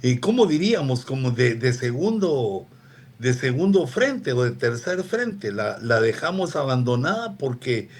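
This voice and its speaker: A man preaching a relaxed, conversational sermon on a radio program, often turning to his listeners with asides. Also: mid-range voice, husky. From Latin America. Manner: unhurried, digressive, with frequent asides to listeners.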